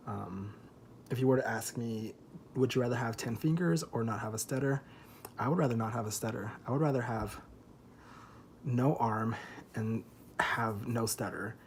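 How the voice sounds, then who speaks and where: A man in his twenties speaks to camera in a loose, confessional ramble, open and a little self-conscious, with plenty of swearing. His voice sits at a middle pitch and he sounds a little tipsy. A faint hiss of noise runs under the recording. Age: 20s. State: feels tipsy. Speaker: vlogger. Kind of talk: candid confessional vlog.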